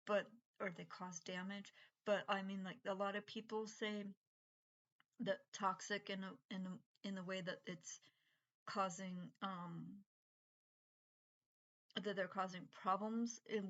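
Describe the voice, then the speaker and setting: A woman's voice: medium pitch, a bit bright. Rambling middle-aged woman, lo-fi sound. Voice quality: unusual voice from breathing troubles. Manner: rambling, halting, many fillers and restarts. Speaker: vlogger. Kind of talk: casual rambling monologue.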